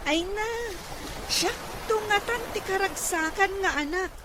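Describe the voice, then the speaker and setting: A high-voiced young daughter. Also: female voice, unhurried. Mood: worried. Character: young adult daughter worried about her father. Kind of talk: radio drama acting. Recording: clear background noise.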